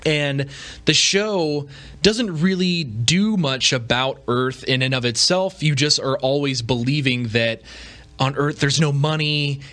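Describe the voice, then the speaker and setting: A man's voice: on the high side, slow, a bit bright. A man hosting a podcast with casual solo commentary, talking informally and conversationally with rhetorical asides. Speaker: podcast host.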